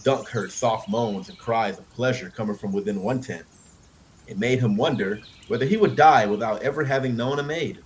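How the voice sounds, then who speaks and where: A man's voice: medium pitch, normal speed, a little hiss or hum. A man narrating fiction as an audiobook reader, expressively telling the story.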